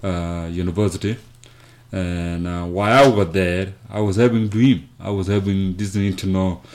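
A man from South Sudan telling his life story as an interview guest, speaking haltingly with many fillers and restarts. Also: low voice, steady tone, a little mellow. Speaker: guest, nonprofit founder.

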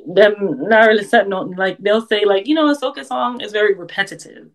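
A woman, a dancehall artist answering interview questions in a relaxed, candid way and laughing often. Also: mid-range voice, brisk. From Jamaica and the US.

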